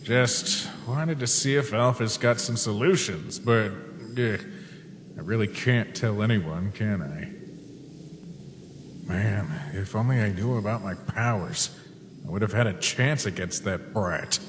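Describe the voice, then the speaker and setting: A man voicing a weary, guilt-ridden skeleton, a protective older brother, in an emotional comic dub with halting, sighing delivery. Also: lowish voice, background noise, natural voice. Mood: weary, evasive. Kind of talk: dramatic comic dub acting. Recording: long reverb, music behind. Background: music.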